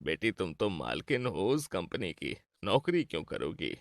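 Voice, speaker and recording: An actor playing a caring, gentle old grandfather in a cartoon moral story. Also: deep voice, big pitch swings, measured pace, no room sound, studio quality, exaggerated voice. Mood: proud, tender. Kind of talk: acted Hindi moral-story cartoon dialogue.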